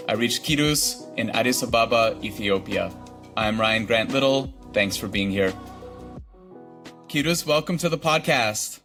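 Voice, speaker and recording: A man hosting an interview podcast, reading a scripted introduction and then asking relaxed questions. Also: medium pitch. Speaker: podcast host.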